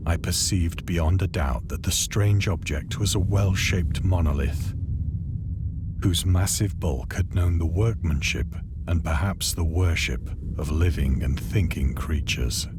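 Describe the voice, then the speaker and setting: A man voicing a haunted, brooding castaway who recounts his horror in grave, measured, formal narration for a game. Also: sits low, heavy background noise. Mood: awed, fearful. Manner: measured, solemn, formal delivery. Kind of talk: scripted horror narration, first-person literary reading. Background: music.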